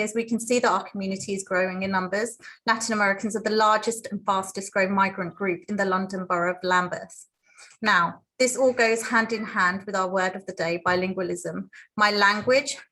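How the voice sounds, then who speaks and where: Woman, from Colombia, native Spanish speaker, teacher on a panel, earnest, measured, mid-pitched voice. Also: bright, forceful tone, rough recording. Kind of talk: prepared personal talk on a panel.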